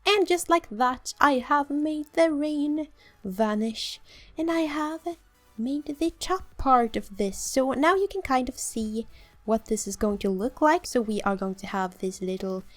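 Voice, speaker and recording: A woman's voice: high voice, measured pace, faint music behind. A young woman from southern Sweden with a southern Swedish accent, chatting playfully through her game with a slightly sore throat and frequent little giggles. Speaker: gaming channel host. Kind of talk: casual let's-play commentary.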